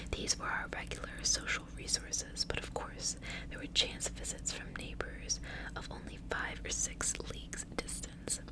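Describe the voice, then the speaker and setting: A woman whispering a gothic story aloud as an ASMR reading, all breath and no voice. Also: low-quality recording.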